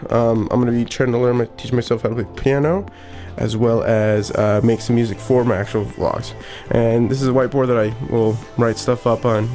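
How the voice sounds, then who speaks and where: Brief: young adult male vlogger, middle-pitched, casual and rambling, small home office, faint guitar music behind. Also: darkish tone. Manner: rambling and upbeat, lots of fillers and restarts. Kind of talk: casual vlog studio tour.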